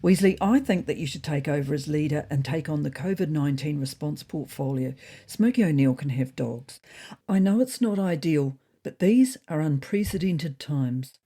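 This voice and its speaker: A woman acts out a female cat leader in a comedy audio story, weary and full of self-pity. Her husky voice rises and falls dramatically, with a soft dark edge. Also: deep voice, expressive, normal speed, heavily acted. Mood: grim, resigned. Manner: melodramatic, grim.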